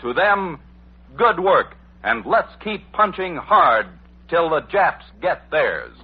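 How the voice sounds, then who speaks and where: Slow, emphatic wartime radio announcer, male. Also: on the high side, very animated, faint music behind. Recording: muffled lo-fi old radio broadcast.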